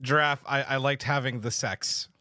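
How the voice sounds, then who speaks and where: A man with a smooth, clear, medium-pitched voice chats casually as a podcast host. The recording is dry. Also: fairly even intonation, normal speed, sharp tone, dry recording. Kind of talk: casual chat.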